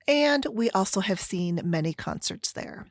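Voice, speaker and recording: A middle-aged woman with an American Midwestern accent chatting casually and haltingly as a solo podcast host, meandering through her stories. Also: medium pitch, lively intonation, no room sound. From Chicago area, United States. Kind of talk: casual solo chit-chat.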